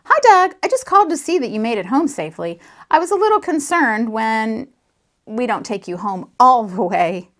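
A woman voicing a concerned, gently firm female Sunday school teacher in a children's story, questioning and gently scolding. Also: on the high side, big pitch swings, normal speed. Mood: friendly concern.

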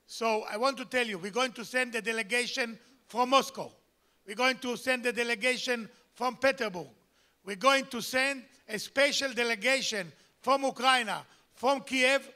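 A man's voice: very high voice, normal speed, bright, forceful tone. An older man with an Israeli accent gives an emphatic, repetitive speech into a handheld mic on a banquet hall stage. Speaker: gala speaker. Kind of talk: public speech at a gala. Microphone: handheld microphone.

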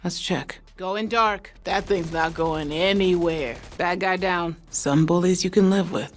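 A woman's voice: fairly low pitch, unhurried, slight background noise, close to an everyday voice. A woman playing a tough, guarded sword-wielding apocalypse survivor in a video game, with terse, commanding combat lines. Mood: stern, controlled.

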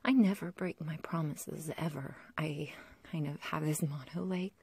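A woman's voice: deep voice, a little slow, darkish tone, dry recording, exaggerated voice. A woman playing an obsessive, love-crazed young kidnapper in an ASMR roleplay, whispering close to the mic in a rambling, hesitant, sweetly menacing way. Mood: apologetic, menacing. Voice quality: breathy, whispery. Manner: rambling, hesitant, cooing, giggly. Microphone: close ASMR microphone, dry.

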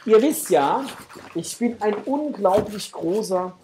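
A man in his thirties with a slightly husky voice, chatting informally to viewers as host of an unboxing video. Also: high voice, lively intonation, takes it slowly, warm tone, slight background noise. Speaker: host of a toy collecting channel. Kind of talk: casual chat, unboxing to camera. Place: small room at home, indoors.

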